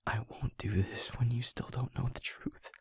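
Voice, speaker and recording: Man acting as husky, whispering, anguished demon. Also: medium pitch, steady tone, dry recording. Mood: anguished, reluctant. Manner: halting, hushed, breathy near-whisper, pleading. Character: anguished male demon confessing to a loved one. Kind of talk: intimate audio roleplay acting.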